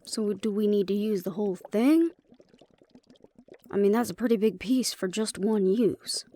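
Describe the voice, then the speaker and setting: A woman's voice: medium pitch, a little slow, warm tone, natural voice. A proud, impatient vampire woman, acted by a female performer in a fantasy audio drama. Her mid-range voice is sarcastic and often incredulous. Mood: sardonic, miffed. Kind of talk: fantasy audio roleplay acting.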